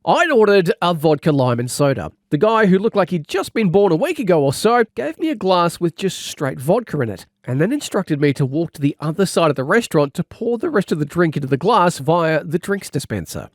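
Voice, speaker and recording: A man's voice: on the high side, big pitch swings, brisk, hardly any pauses, no echo, clean studio sound. A man reading a comic first-person audiobook as a wry, exaggerating storyteller. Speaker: audiobook narrator. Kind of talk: comedic scripted narration.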